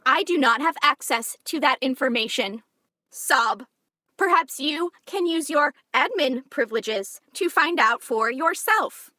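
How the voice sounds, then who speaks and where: A melodramatic computer girl with a very high, bright voice. She talks slowly in stiff, formal sentences, stating her feelings aloud like a wounded child. It is an audio drama character, recorded dry and close. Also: expressive, no room sound, full character voice. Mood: sulky, wounded. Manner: stilted formal wording, announces its own sobs and emotions.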